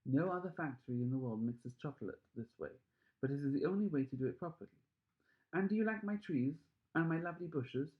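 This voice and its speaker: A man voicing an excitable, proud, fussy chocolate factory owner in a storybook reading, with gushing exclamations. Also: fairly high pitch, fairly quick, phone-quality sound, natural voice.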